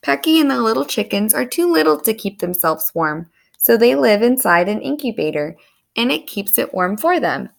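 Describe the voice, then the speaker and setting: A woman reading a story aloud to young children in an animated, expressive storytelling manner. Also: a little high, moderate pace, vocal fry. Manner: animated, explains words to young listeners.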